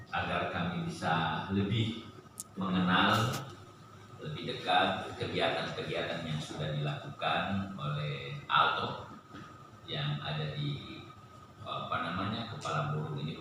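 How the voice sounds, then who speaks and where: Male official, extremely low voice, slow and halting, informal welcome speech, heard from far off in a reverberant room. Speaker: vice regent opening a presentation. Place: large echoey indoor meeting room. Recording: very echoey hall, distant mic, noisy.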